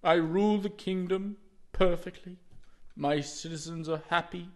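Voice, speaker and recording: A man voicing a self-satisfied king who boasts and soothes his wife in a low voice. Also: steady tone, unhurried. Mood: pompous. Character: self-satisfied king fretting over his lost daughter.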